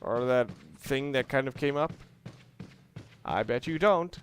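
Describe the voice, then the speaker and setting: A man giving casual, excitable let's play commentary on a video game, with casual swearing. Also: medium pitch, a little slow. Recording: clearly noisy home recording.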